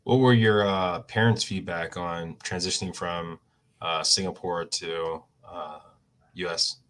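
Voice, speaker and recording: A man with a somewhat low voice hosts a casual interview. He stops and restarts his questions and drops in short "mm-hmm" replies. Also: steady tone, a bit bright. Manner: halting questions with restarts, brief backchannels. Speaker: interview host.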